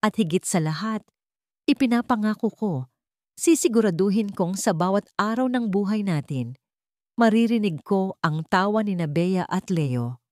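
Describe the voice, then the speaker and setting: A woman's voice: mid-range voice, expressive, moderate pace, darkish tone, no echo, studio quality, natural voice. A woman voicing a wealthy, once-cold woman in a Filipino drama story, speaking with trembling emotion. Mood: moved. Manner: emotional, shaky with feeling. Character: wealthy woman, former ice queen, warm.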